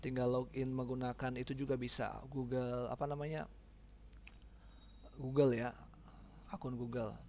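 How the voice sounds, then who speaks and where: A man giving a casual, informal step-by-step software tutorial, often checking in with his listeners. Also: medium pitch, flat delivery, normal speed, pauses often, a little hiss or hum.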